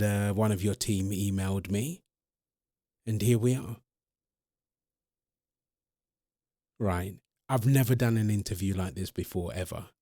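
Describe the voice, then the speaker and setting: Male actor as ancient vampire, low crisp voice, earnest, hesitant, polite, audio roleplay. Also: darkish tone, dry recording, clean studio sound, heavily acted. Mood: nervous, polite. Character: earnest 205-year-old male vampire in love. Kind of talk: ASMR audio roleplay, one-sided interview.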